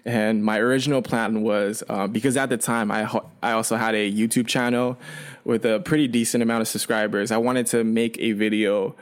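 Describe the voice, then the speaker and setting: Young man, Chinese first language, Canadian-raised; low-set voice, loose and rambling with lots of fillers. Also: fairly quick. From China, raised in Canada. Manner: relaxed, rambling, frequent fillers and restarts. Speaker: guest. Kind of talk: casual storytelling.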